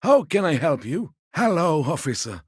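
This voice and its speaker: An actor voicing a gentle, sociable elderly man in a video game, courteous and wistful, teasing softly and taking his time. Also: fairly high pitch, sing-song, moderate pace, breathy edge, no room sound, studio quality. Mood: courteous, obliging. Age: 70s or older. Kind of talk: video game character dialogue.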